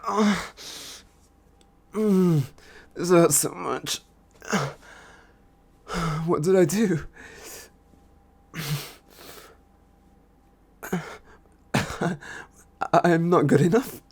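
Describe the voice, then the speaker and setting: A man playing an obsessed, adoring stalker in a boyfriend ASMR roleplay, with a breathy, husky voice and a halting, pleading, worshipful manner. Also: high-pitched, a little mellow, heavily acted. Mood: pained desperate pleading. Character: obsessed, adoring young stalker smitten with a killer.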